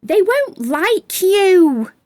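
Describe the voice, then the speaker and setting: A woman's voice: extremely high pitch, sing-song, very slow, a little mellow, no echo, pitched above the performer's own voice, full character voice. A woman voicing a grumpy, mocking little creature who taunts and discourages in a children's storybook. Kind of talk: children's storybook read-aloud.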